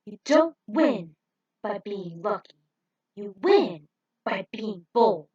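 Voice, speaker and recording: A woman's voice: mid-range voice, big pitch swings, slow, slightly rough, muffled recording, exaggerated voice. A woman voicing a bold, cocky veteran male racer who barks commands and taunts in a fan-fiction story. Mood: stern, taunting. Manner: forceful, taunting, barking commands.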